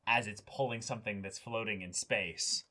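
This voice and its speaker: A man's voice: fairly low pitch, fairly even intonation, moderate pace, bright, forceful tone. A man co-hosting a reaction show in casual discussion, with a sarcastic, exclamatory manner. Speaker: co-host of an anime reaction show.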